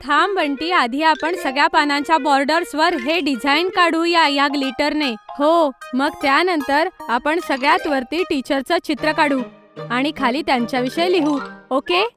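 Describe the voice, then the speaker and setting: A woman's voice: high-pitched, moderate pace, fairly bright tone. A woman voicing an earnest, kind young schoolgirl who likes to organise, in a children's cartoon story. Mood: eager, instructing. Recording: music behind the voice.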